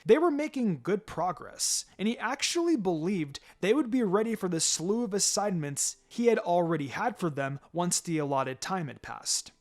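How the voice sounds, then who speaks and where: Animated man narrating a story. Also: high-pitched, normal speed, hardly any pauses. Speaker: fan fiction narrator. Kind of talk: dramatic scripted story narration. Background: music.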